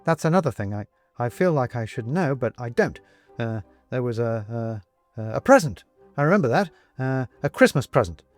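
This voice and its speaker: A man voicing a confused, agitated gentleman who keeps losing his memory, stammering and growing frantic. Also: medium pitch, sing-song, normal speed, soft background music, voice pushed up, close to an everyday voice. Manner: stammering, flustered, demanding. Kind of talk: audiobook character reading.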